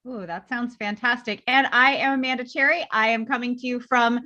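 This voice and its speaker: A woman's voice: on the high side, a little fast, sharp tone. Upbeat woman moderating a video panel. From United States. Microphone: video call. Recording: slightly dull lo-fi audio.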